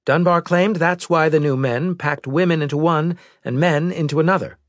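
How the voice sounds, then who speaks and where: A man voicing a brisk, formal female military intelligence officer giving precise reports in an audiobook. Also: a little high, no room sound, studio quality, pitched above the performer's own voice, natural voice.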